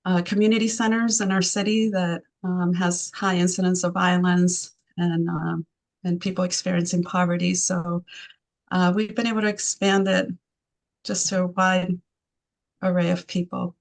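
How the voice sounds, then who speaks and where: A woman with an Argentine accent, whose first language is Spanish, speaks in an even, level tone with a gravelly edge. She answers interview questions in a thoughtful way, pausing often and restarting her sentences. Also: fairly low pitch. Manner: thoughtful, many fillers and restarts. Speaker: arts program founder.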